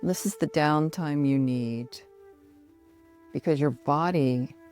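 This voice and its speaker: A middle-aged woman guiding a relaxation meditation in a soothing, measured manner with long pauses. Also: deep voice, darkish tone, faint music behind. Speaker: yoga nidra meditation guide.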